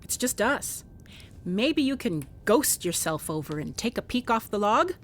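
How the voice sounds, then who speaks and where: A woman's voice: mid-range voice, big pitch swings, unhurried. A woman playing a wry, grieving police investigator in a contemporary radio drama. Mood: brisk, thoughtful.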